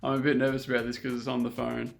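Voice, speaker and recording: Gravelly upbeat male vlogger. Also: mid-range voice, completely flat delivery, fast talker, soft background music. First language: English. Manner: upbeat, self-deprecating, talks straight to viewers. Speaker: vlogger learning a language. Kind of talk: casual vlog talk to camera.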